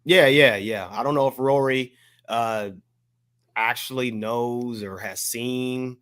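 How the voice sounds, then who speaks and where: An American man co-hosting a casual podcast discussion, measured and hesitant, carefully qualifying what he says. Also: medium pitch, fairly bright tone. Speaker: podcast co-host.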